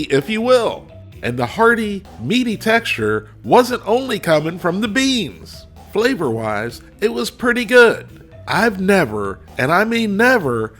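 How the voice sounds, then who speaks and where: A man's voice: high-pitched, a bit bright. A man hosting a casual food review video. He is congested and his voice is a little cracky, and he talks to viewers in a folksy, chatty way. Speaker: food reviewer, channel host.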